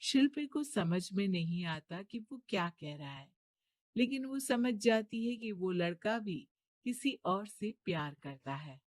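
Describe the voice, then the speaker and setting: A woman's voice: medium pitch, expressive, a little slow, darkish tone, no room sound. A woman narrator giving scripted narration for a cartoon moral story.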